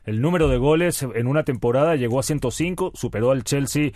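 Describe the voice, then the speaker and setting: A man commenting on football, stacking up numbers and facts and pausing with frequent fillers. His voice is mid-pitched and the recording is dry and close. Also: a little mellow, no echo. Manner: fact-heavy, hesitant fillers. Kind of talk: sports radio talk.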